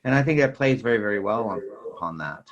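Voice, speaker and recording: A man's voice: fairly low pitch, expressive, fast talker, lo-fi sound. A man speaking as a podcast host in a casual film discussion, often tacking small verbal tags onto his sentences. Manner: repeats verbal tags like "sort of thing".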